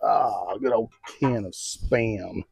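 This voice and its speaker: A man's voice: fairly low pitch, takes it slowly, vocal fry, very noisy. An American man hosting a casual outdoor cooking vlog, talking in a folksy, informal way and thinking aloud. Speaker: home cooking video host. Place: outdoors on a home patio. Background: music from a radio.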